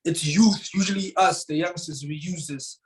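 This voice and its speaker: A man's voice: a little high, normal speed, darkish tone. A man from South Africa, a Tswana speaker, telling a motivational story earnestly as an interview guest. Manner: earnest, repeats words, rises to emphatic bursts.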